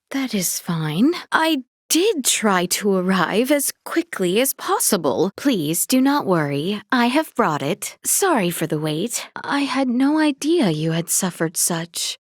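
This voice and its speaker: A woman's voice: mid-range voice, big pitch swings, a little slow, few pauses, no room sound, studio quality. A woman voicing a young noble princess in a video game, earnest and dignified, with formal, polite, precisely worded speech. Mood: courteous.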